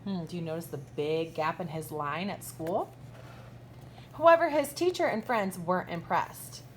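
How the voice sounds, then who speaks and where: A woman teacher reads a children's picture book aloud with lively, swinging intonation. She emphasises key words and pauses to question her young listeners. Faint noise sits behind her voice. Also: on the low side. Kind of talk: expressive children's storytime read-aloud with asides.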